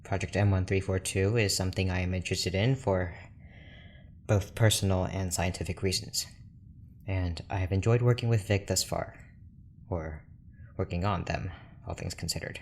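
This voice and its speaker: A man playing a reserved, formal research doctor in an audio drama, speaking in a measured, dry manner. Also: low voice, fairly even intonation, a little mellow. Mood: guarded, reflective. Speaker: scientist character in an audio drama. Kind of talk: scripted audio drama monologue and dialogue. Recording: clear background noise with ambience.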